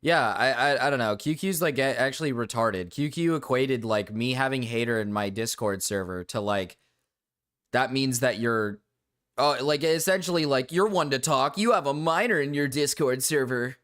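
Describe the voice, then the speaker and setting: A man's voice: mid-range voice, moderate pace, fairly bright tone. Irritated young man ranting to chat. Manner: rambling, frequent restarts and fillers, irritated. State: voice going low and cracking. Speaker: streamer. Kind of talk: casual livestream rant to chat.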